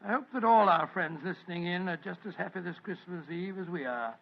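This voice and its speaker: An actor playing a bluff, kindly older doctor in an old radio play, hearty and stammering, on a dull lo-fi recording. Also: male voice, sits high, a little fast, vocal fry. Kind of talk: 1940s radio acting.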